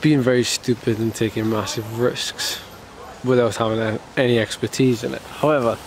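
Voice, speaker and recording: A man's voice: medium pitch, level tone, moderate pace, a little hiss or hum. A man from the United Kingdom, a professional cyclist, talking casually to camera while ill and slightly congested, rambling with lots of fillers and restarts. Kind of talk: casual vlog talk to camera.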